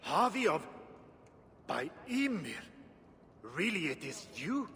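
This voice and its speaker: A man voicing a gruff, nonchalant dwarf artisan in a video game, colloquial and blunt. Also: high-pitched, sing-song, slow pace, a bit bright, in a large hall, full character voice. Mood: astonished. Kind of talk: video game character acting.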